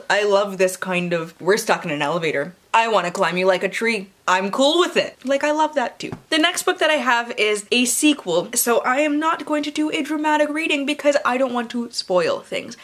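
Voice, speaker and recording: A woman's voice: fairly high pitch, fairly bright tone. A young adult woman vlogging about books in a casual, playful way, often interrupting herself and swearing freely. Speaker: book vlogger. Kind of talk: casual vlog chat with dramatic blurb readings. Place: indoor room with bookshelves.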